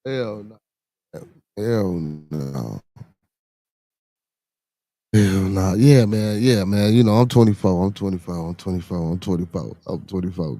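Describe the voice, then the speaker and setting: A man's voice: deep voice, dark tone, no echo. A middle-aged man with a Chicago accent, hosting a podcast livestream, reading the chat aloud. He talks loosely and profanely, laughs often, and repeats himself for effect.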